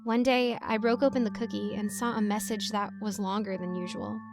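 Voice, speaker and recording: A woman reading a scripted story as its narrator, with an expressive storytelling delivery. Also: mid-range voice, a little fast, over music.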